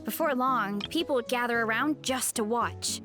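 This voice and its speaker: A woman's voice: on the high side, moderate pace, bright, forceful tone. A woman playing a cheerful, hearty young farm girl in a video game, chatting in a sweet, easygoing way. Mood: fond, reminiscing. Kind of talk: video game character dialogue. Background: music.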